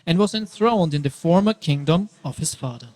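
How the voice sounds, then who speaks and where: A man with a slightly high voice serves as narrator. He reads scripture in a formal, scripted style for a dramatic reading. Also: lively intonation, normal speed, darkish tone.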